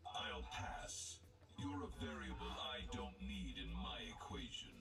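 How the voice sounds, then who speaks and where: A man's voice: lowish voice, huge pitch swings, measured pace, vocal fry, exaggerated voice. A man playing a cold, arrogant robot supervillain in a video game, speaking with formal disdain through a synthetic filter. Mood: cold, dismissive. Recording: loud music behind the game voice.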